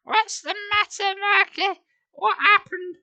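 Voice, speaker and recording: Man putting on a high, cooing falsetto mum. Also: steady tone, takes it slowly, bright, forceful tone, no room sound, heavily acted. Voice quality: falsetto, sing-song. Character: cooing young mother fussing over her baby, patient then sharp.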